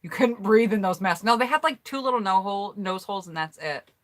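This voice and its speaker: A woman's voice: medium pitch, lively intonation, a little fast, a bit bright. An American woman chatting casually on a home mic, reading out viewers' answers in an upbeat, rambling way. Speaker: YouTuber hosting a collab tag.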